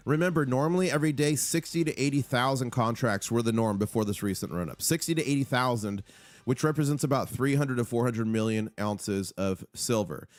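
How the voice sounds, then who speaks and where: A man hosts a live market stream with a voice gone hoarse from talking too long. He delivers excited, urgent commentary at a mid pitch, hammering each number twice. The sound is dry and close, with soft music faintly behind him. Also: a little fast, no room sound. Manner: urgent, hyped, repeats key numbers. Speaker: livestream host on silver markets.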